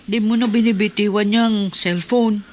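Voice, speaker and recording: An actress playing a nosy, gossipy wife in a radio drama. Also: female voice, mid-range voice, fairly even intonation, normal speed, darkish tone, lightly acted.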